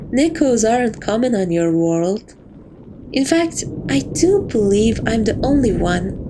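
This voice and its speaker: A woman playing a seductive, possessive catgirl thief who dotes on her captive, speaking softly and teasingly in a romantic ASMR roleplay. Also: on the high side, a little hiss or hum. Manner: soft, coaxing, teasing, doting.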